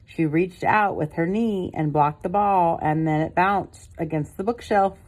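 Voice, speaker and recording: An older woman with a low voice reads a picture book aloud at bedtime. She is expressive and breaks off now and then to chat casually to the listening child, as a grandmother would. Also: unhurried, poor audio. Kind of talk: bedtime story reading with casual asides to a child.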